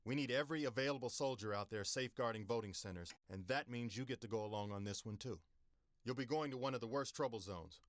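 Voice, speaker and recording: An actor playing a military officer giving a mission briefing in a video game, in a crisp, matter-of-fact way. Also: male voice, on the low side, no room sound, studio quality, close to an everyday voice. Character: male military officer briefing soldiers, brisk and businesslike. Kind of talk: scripted game dialogue.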